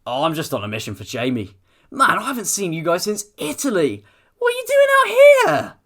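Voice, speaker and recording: A man voicing an excitable, greedy young male food lover with an eager manner. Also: sits high, brisk, pitched above the performer's own voice, exaggerated voice.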